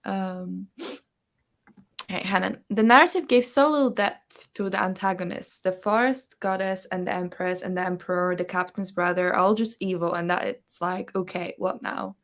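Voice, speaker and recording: A woman's voice: fairly low pitch, steady tone, moderate pace. A woman hosting a livestreamed book discussion, chatting casually and often acting out imagined reactions. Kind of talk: casual chat, reading out viewer comments. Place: online livestream call.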